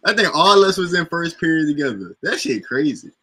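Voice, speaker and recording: A man's voice: on the high side, expressive, normal speed, bright, forceful tone, breathy edge. A man in casual chat with friends, laid-back, slangy and profane, often breaking into laughs. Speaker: host.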